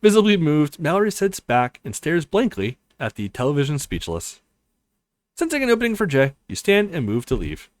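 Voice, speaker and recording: Guy doing a visual novel let's play, reads it all out dramatically, super animated pitch, dry close sound. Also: moderate pace, dry recording. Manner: theatrical, voices the dialogue. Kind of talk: expressive read-aloud narration of a visual novel.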